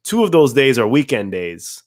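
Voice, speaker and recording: A man with a raspy voice from a cold, hosting a casual livestream, greeting viewers by name and restarting words as he talks. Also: mid-range voice, sing-song, moderate pace, no room sound, studio quality. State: hoarse from a cold. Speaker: livestream host.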